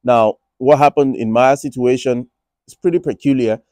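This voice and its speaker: Nigerian-accented man, mellow and dry. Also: mid-range voice, steady tone, moderate pace, no room sound. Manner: rambling, many restarts and fillers. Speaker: finance vlogger. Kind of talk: casual monologue. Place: Republic of Ireland.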